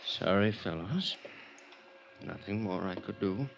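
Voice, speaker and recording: Deep, creaky-voiced hospital doctor. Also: male voice, lively intonation, takes it slowly, full character voice. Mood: resigned. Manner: courteous, firm. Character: grave, authoritative male emergency hospital doctor. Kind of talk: 1950s radio drama acting. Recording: dull, noisy old radio recording.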